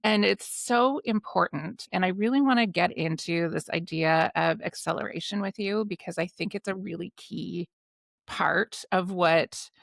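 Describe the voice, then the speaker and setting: A woman in her forties from Canada, hosting a podcast with enthusiastic, animated storytelling. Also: lowish voice, a little slow, creaky, no room sound, clean studio sound. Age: 40s. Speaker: podcast host. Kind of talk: conversational interview.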